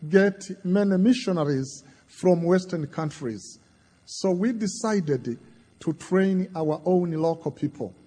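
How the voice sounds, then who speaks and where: Slow, deliberate Tanzanian preacher man. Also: high-pitched, mellow, slightly rough. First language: Kiswahili. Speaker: visiting bishop preaching. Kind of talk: sermon. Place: large church. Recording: long church reverb.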